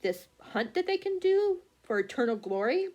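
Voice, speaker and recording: A woman book vlogger chatting casually and haltingly, often losing her thread and correcting herself. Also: sits high, sing-song, a little mellow, muffled recording. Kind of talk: casual rambling chat about books.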